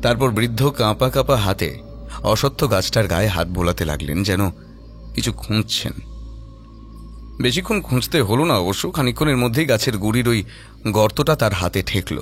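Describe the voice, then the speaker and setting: A man's voice: on the low side, expressive, normal speed, faint music behind. A man narrating a scripted horror audio story in a suspenseful, dramatic manner.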